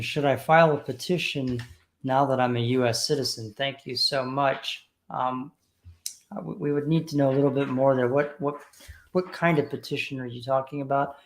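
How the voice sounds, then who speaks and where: A woman's voice: a bass voice, brisk. A woman co-hosting a live immigration Q&A stream, upbeat as she reads out viewer comments and questions. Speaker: immigration Q&A co-host.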